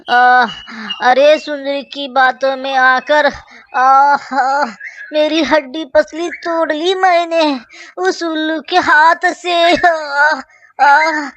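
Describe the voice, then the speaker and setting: Hapless henpecked crow husband, played by a woman: high-pitched, slow, whiny and melodramatic, for a kids' cartoon. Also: fairly bright tone, full character voice. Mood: groaning in pain. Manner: pleading, melodramatic. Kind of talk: children's cartoon story dialogue.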